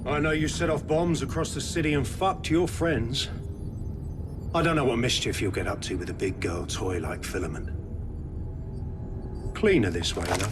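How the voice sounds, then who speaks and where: An actor playing a smug, ruthless private military commander in a game, sardonic and used to command. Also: male voice, mid-range voice, normal speed, long pauses, lightly acted. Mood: smug, dry. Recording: loud music behind voice.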